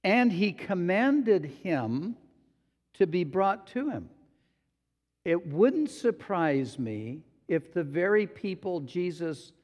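A male pastor preaching a sermon in a slow, deliberate way, sometimes acting out quotes. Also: a little high, a little mellow, muffled recording. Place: church sanctuary. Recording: long hall reverb.

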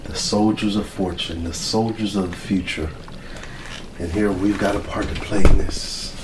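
A man's voice: low voice, fairly even intonation, moderate pace, lightly acted. A middle-aged man playing an earnest, easily flustered military mad scientist in an ASMR roleplay, rambling, muttering and repeating his words. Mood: wistful, proud. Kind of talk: ASMR roleplay, improvised monologue. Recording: roomy, distant mic with clear noise.

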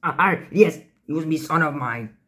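A man voicing a jolly, boastful cartoon pirate fox with gravelly, halting, theatrical buccaneer talk. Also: fairly high pitch, a bit bright, lightly acted. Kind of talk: in-character fan Q&A voice lines.

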